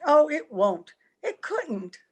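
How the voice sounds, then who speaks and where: A woman's voice: high-pitched, very animated, takes it slowly, exaggerated voice. A woman playing a romantic, naive young bride, happy yet anxious, in an old-time radio drama. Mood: elated, dreamy.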